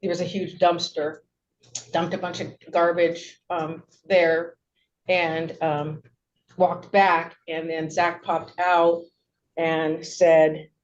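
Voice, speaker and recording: A middle-aged woman testifies in a very even, slightly low voice. She is wordy and hesitant, backing up to correct herself, and the courtroom recording is dull with some background hiss. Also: takes it slowly. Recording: dull, noisy courtroom audio.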